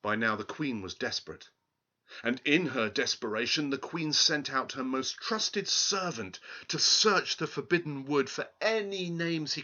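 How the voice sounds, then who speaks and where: A man's voice: medium pitch, bright, forceful tone. A man reading a children's story aloud in a dramatic, emphatic way, on a dull, lo-fi recording.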